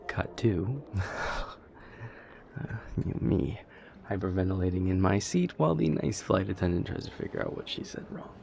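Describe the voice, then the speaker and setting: A man's voice: low-pitched, lively intonation, measured pace, creaky, a little mellow, heavily acted. A man playing an anxious, self-deprecating vampire boyfriend in an intimate roleplay audio, stammering and rambling, often dropping to a murmur. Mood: anxious, self-mocking. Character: nervous, self-deprecating immortal male vampire boyfriend, affectionate.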